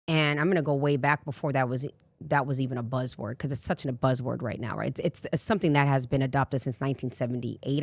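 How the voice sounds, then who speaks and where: A raspy woman in her forties, a life coach telling candid personal stories in a casual interview. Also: a bass voice, no echo. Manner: candid, rambling storyteller with frequent asides. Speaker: life coach, podcast guest.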